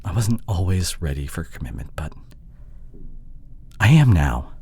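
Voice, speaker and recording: Breathy, pleading boyfriend played by a man, swinging pitch. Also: sing-song, darkish tone, full character voice. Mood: apologetic, earnest. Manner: halting, sighing, slipping into breathy murmurs. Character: apologetic, pleading long-distance boyfriend, insecure young man. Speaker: audio roleplay voice actor. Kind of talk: intimate dramatic boyfriend roleplay audio.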